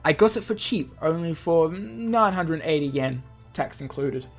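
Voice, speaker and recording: A low-pitched woman hosts a casual let's play, with music faintly behind her. She is chatty and often steps out of the story to explain things. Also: lively intonation, unhurried. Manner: chatty, breaks off to explain terms. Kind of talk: casual visual novel read-aloud with commentary. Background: game music.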